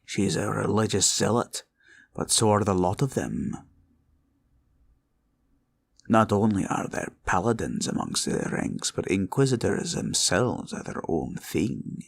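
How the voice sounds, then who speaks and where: Deep, gravelly green dragon villain. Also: male voice, expressive, heavily acted. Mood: intrigued, calculating. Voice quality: gravelly, husky. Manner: slow, probing, condescending drawl. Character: scheming, condescending male green dragon villain. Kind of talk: roleplay ASMR villain audio.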